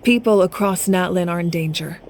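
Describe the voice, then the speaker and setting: A woman playing a grave, resolute young leader in a video game, speaking in a measured, authoritative way. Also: lowish voice, a little hiss or hum, close to an everyday voice. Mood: brisk, serious. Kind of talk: dramatic video game dialogue.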